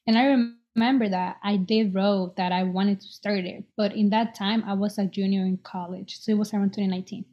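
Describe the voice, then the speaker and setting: A young woman in her twenties from El Salvador, speaking as an interview guest in an earnest, reflective way and pausing often with "um". Her voice sits at a middle pitch, and the recording sounds slightly dull. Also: little pitch movement. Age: 20s. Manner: hesitant, many "um"s, earnest and reflective. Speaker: guest, business owner. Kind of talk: casual interview conversation.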